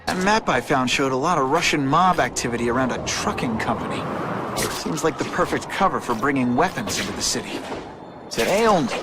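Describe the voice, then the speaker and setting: A man's voice: medium pitch, expressive, fairly quick, crowd noise, natural voice. A man playing a young, wisecracking but earnest superhero in a video game, quippy and informal. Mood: wry. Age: young adult. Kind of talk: video game cutscene acting and hero monologue. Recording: clear noise behind the voice.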